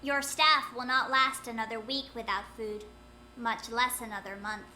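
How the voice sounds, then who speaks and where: A woman's voice: on the high side, steady tone, moderate pace, pushed and bright, slight background noise. A woman voicing a stern woman negotiator who speaks in a cold, clipped and formal way, in a fan audio drama. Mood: cold anger.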